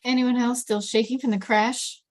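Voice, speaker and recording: A woman's voice: fairly high pitch, little pitch movement, normal speed, close to an everyday voice. A woman playing an anxious, touchy, easily confused boy at a screenplay table read.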